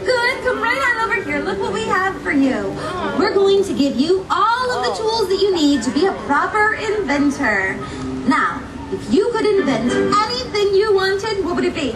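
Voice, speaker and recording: Woman playing Belle, a very high-voiced sweet princess. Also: sing-song, moderate pace, over loud music. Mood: playful, encouraging. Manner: theatrical, encouraging, asks the audience questions. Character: Belle, sweet gracious young storybook princess. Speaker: theme park storytelling performer. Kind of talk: live interactive storytelling for children. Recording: distant, echoey, noisy amateur recording among crowd. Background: audience crowd noise.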